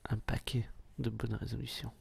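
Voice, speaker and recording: A man's voice: mid-range voice, flat delivery, moderate pace, slight background noise. A man whispering intimately to the listener in a casual ASMR style, with a playful, teasing manner. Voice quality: breathy whisper. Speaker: ASMR video host.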